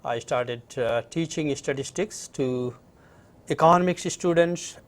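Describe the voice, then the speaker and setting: A middle-aged man from Nepal with a Nepali accent, answering interview questions conversationally in a halting way and repeating phrases. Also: mid-range voice. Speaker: interview guest, university professor. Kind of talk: conversational interview, recounting own background.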